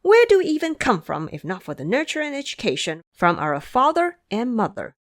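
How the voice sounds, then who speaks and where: A woman puts on a young male crown prince, haughty and quick to temper. The delivery is imperious, with animated rises and falls suited to audio drama. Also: a little high, pitched above the performer's own voice. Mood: angry. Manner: imperious, indignant.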